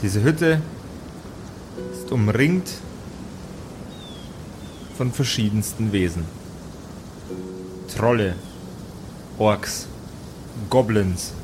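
A man narrating an improvised tabletop adventure as game master, with deliberate phrasing and dramatic pauses. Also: lowish voice, music in the background.

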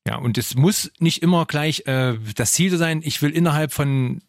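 A man's voice: fairly high pitch, normal speed, no echo. Man in his forties coaching, dry sound. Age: 40s. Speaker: fitness trainer, co-host. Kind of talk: casual explanatory coaching talk.

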